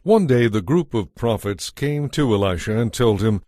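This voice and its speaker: A man's voice: medium pitch, expressive, moderate pace, dark tone, no room sound. A man narrating a dramatized audio Bible as a scripted story, recorded close. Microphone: close, dry studio microphone. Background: faint music.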